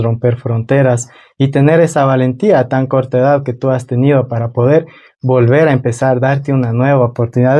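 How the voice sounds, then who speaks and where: A man's voice: mid-range voice, mellow. A man hosting a conversational interview on his own channel, welcoming a guest and asking questions.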